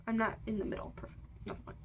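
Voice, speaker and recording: A woman's voice: quick pace, slight background noise. A nineteen-year-old woman chatting and ranting about books, rambling with lots of fillers and self-corrections. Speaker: book vlogger. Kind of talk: casual chatty book review rant.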